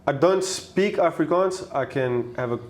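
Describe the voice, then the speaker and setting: A man with a South African accent, a podcast guest in relaxed, slangy casual chat who often trails off softly at the ends of thoughts. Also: a little high, a little slow.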